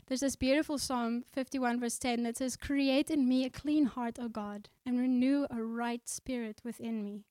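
A woman from South Africa preaching a sermon in the Netherlands. She speaks earnestly and conversationally, slowing down when she reads scripture aloud. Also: on the high side, fairly even intonation, darkish tone, clear, resonant tone, no room sound. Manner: conversational, with fillers and restarts.

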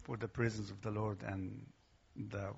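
Earnest Egyptian man, gravelly husky voice. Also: on the low side, level tone, a little slow, dull audio. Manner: earnest, emphatic, slightly broken English. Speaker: guest speaker. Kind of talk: church testimony. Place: church hall.

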